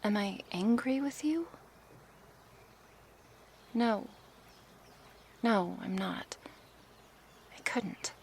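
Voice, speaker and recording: A woman playing a gentle, curious, coaxing snake woman in an intimate ASMR roleplay, speaking softly and hesitantly in a breathy, often whispered voice. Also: on the high side, slight background noise, full character voice. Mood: apologetic, hesitant. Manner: slow, hesitant, soft-spoken with sighs and pauses. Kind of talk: ASMR roleplay, intimate one-sided dialogue.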